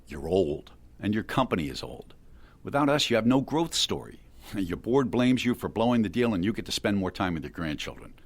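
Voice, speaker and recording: An actor playing a cool, hard-nosed younger tech dealmaker in an audio drama, blunt, controlled and condescending. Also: male voice, lowish voice, sing-song, a little fast. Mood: scornful.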